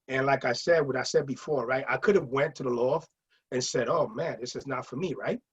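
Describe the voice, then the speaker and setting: A middle-aged man casually telling stories in an animated way, acting out what people said. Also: mid-range voice, fairly quick, husky. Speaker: guest sharing nightlife memories. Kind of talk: casual anecdotal storytelling. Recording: muffled lo-fi audio.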